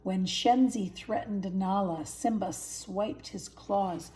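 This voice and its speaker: Older woman reading a storybook, mellow voice. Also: medium pitch, takes it slowly. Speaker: grandmother reading stories. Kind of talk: children's storybook narration.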